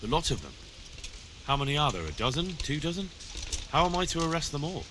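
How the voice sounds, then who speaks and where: A man's voice: a little high, very animated, brisk, noisy background. An actor playing a dutiful, inquisitive young medieval man-at-arms in a video game, plain-spoken and deferential. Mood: incredulous. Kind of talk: scripted video game dialogue. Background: music.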